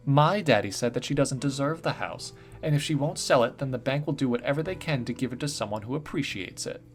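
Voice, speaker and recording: A young girl's words, quoted plainly inside a storybook reading, as a man reads them in his own mid-pitched voice. The line comes flat and matter-of-fact with no real character voice, and soft guitar music plays faintly underneath. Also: brisk, natural voice. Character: young girl repeating her father's words.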